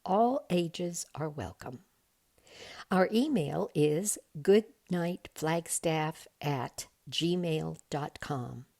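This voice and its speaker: A woman reading a bedtime story aloud in a measured, deliberate way. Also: sits low, lively intonation, vocal fry, mellow. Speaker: volunteer storybook reader.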